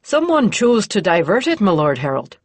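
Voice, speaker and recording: A woman's voice: medium pitch, big pitch swings, normal speed, dry recording, voice pushed up. A woman voicing a plodding, dutiful middle-aged male provost marshal with a rustic, deferential, plain-spoken manner. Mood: reporting.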